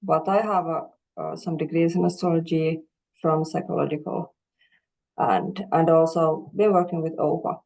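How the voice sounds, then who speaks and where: Woman from Finland, low gravelly voice with fry, casual video-call intro. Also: steady tone, normal speed, a little mellow, rough recording. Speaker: astrologer and teacher.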